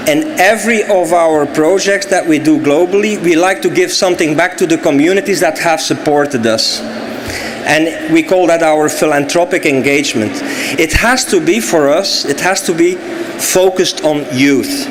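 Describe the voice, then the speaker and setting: Belgian man, Dutch native, formal sponsor remarks, higher-pitched, noisy recording. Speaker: sponsor speaker. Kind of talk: formal speech at an event.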